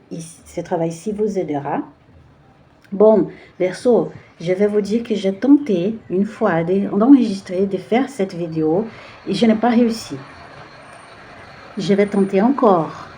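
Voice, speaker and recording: A woman with a Brazilian Portuguese accent hosts a casual card-reading video in French, which is not her first language. Her voice sits in a middle pitch with a warm, mellow tone. She often pauses and searches for words as she talks. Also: a little hiss or hum. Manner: hesitant, searching for words with many fillers. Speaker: tarot card reader.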